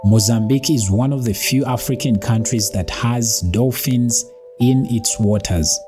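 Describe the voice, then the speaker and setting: A man's voice: mid-range voice, measured pace. Man narrating facts over music. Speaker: channel host. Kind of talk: scripted narration.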